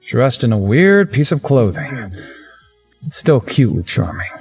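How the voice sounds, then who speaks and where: A man reads as a young visual novel narrator with a husky voice and a lively, swinging pitch. Faint music plays underneath the lines. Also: a little slow, exaggerated voice. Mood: wistful.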